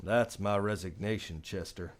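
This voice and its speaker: An actor playing a weary, resolute Old West marshal in a radio western. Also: male voice, lowish voice, fairly even intonation, moderate pace, lightly acted. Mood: firm, resigned. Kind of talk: radio western acting and first-person narration.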